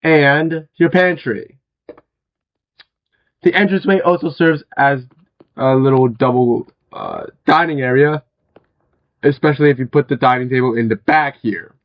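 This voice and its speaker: A young man with a hoarse, worn-out voice, chatting casually as he walks viewers through a house in a video game, with many fillers and throat clearings. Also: medium pitch, a little slow, long pauses, muffled recording. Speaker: gaming video host. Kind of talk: casual game walkthrough commentary.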